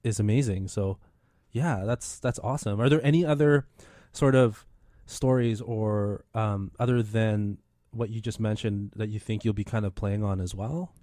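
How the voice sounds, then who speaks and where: A man's voice: fairly low pitch, fairly even intonation, normal speed, warm tone, dry recording, clean studio sound. A man hosting a casual radio interview, talking eagerly with many restarts. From Philippine heritage. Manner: enthusiastic, rambling with many restarts. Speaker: radio show host.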